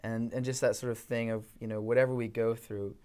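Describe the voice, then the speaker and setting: A young man from Canada with an accent mixing Canadian and English, chatting softly and rambling with many fillers in a small studio room. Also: medium pitch, flat delivery, a little fast, clear, resonant tone. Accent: Canadian mixed with English. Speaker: guest singer-songwriter. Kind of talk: casual chat, then singing with acoustic guitar.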